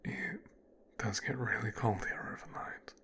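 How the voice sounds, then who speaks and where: A man playing a possessive, smooth-talking son of a mafia boss in an intimate, hushed ASMR audio roleplay. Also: medium pitch, big pitch swings, heavily acted. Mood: soothing, possessive. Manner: slow, hushed, half-whispered delivery with deliberate pauses.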